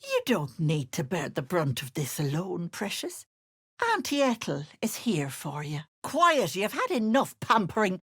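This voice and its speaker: Old hag, game villain, sugary and menacing, animated swooping pitch, unhurried, dry close sound. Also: female voice, slightly rough, no echo, full character voice. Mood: falsely sweet, then menacing, scornful. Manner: sugary endearments with sly, mocking menace. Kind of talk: video game character acting.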